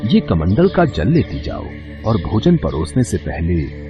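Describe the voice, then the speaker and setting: A man playing a revered hermit sage and husband in a devotional story, calm, grave and reassuring in a measured, soft-spoken way. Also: low voice, muffled recording, over music, exaggerated voice. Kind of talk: devotional Hindi story dramatization.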